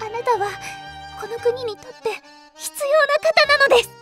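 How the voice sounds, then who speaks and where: A very high, girlish voice for a thirteen-year-old empress in an anime-style audio drama. She is sweet and dreamy, speaking with polite, excitable earnestness over faint music. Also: normal speed. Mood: dreamily dramatic.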